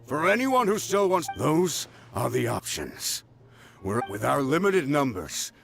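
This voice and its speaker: Casting: steadfast commander in a game, mid-pitched with heavy rasping fry, earnest and expressive, rallying his troops. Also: unhurried, exaggerated voice. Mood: grave, grateful. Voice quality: gravelly. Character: resolute male war leader rallying dwindling forces. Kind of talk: video game character acting.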